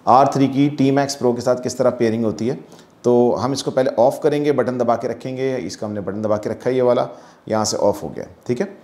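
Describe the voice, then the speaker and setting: A man's voice: mid-range voice, fairly even intonation, fairly quick, a little mellow. A man with a Pakistani accent giving a casual, explanatory hands-on gadget demonstration, dropping in English phrases. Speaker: product reviewer. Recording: echoey room.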